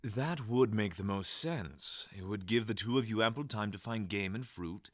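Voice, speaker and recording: A man's voice: lowish voice, normal speed, no echo, clean studio sound, natural voice. A man playing a sensible half-dragon companion in an audio drama, speaking formally, precisely and reassuringly.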